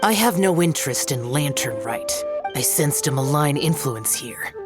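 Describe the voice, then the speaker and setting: An actor playing a stern, aloof young immortal demon slayer in a video game, speaking in a cold, solemn, formal manner. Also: male voice, fairly high pitch, expressive, normal speed, natural voice. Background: game music.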